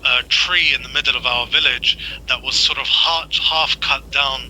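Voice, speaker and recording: Male podcast host, conversational and halting, piercingly bright tone, faint background noise. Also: fairly even intonation. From Afghanistan. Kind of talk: casual discussion, personal anecdotes. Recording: noisy, low-quality podcast audio.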